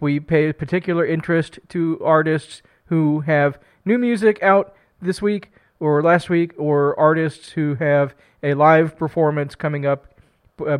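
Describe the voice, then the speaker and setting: A man with a slightly higher voice and a smooth, resonant tone hosts a local radio music hour. He talks in a chatty, upbeat way, stumbling over a few words. He is fighting a cold, speaking into a studio broadcast mic. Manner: upbeat, chatty, self-deprecating, with fillers and restarts. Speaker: radio host. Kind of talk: community radio show hosting. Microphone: studio broadcast microphone.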